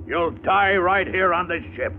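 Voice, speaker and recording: A sneering space captain from 1950s radio drama, high-voiced and animated. He speaks with cool, formal menace on a tinny old recording. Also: a little slow. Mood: gloating, menacing. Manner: mocking, coolly threatening, formal.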